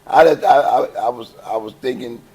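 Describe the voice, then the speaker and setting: An older man from Chicago with a heavy Southern-sounding accent, a gospel choir founder telling stories in a relaxed, laughing, folksy way. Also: mid-range voice, a little fast. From Chicago, West Side. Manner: easygoing, laughing, folksy storytelling. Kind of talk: relaxed interview conversation.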